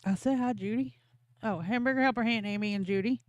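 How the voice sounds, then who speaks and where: Female co-host, teasing and quick, smooth clear voice. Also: fairly high pitch, dry recording. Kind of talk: casual livestream chat.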